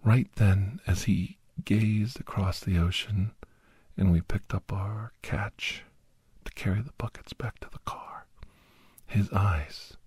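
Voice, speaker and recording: A man narrating a suspenseful first-person ASMR story in a hushed, half-whispered voice with dramatic pauses. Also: fairly low pitch, husky, no room sound. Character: doctor recounting a monster-hunting adventure, grave and awed.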